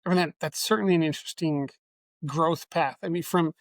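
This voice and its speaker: A man's voice: fairly high pitch, fairly quick, dry recording, studio quality. A male podcast host interviewing a guest, friendly and curious, asking questions with some hesitations. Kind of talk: interview hosting.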